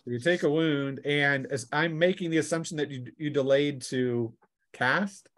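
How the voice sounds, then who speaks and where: A man acting as game master, narrating a tabletop role-playing game in a casual, halting way that mixes story with rules talk. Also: on the high side, fairly bright tone, slight background noise.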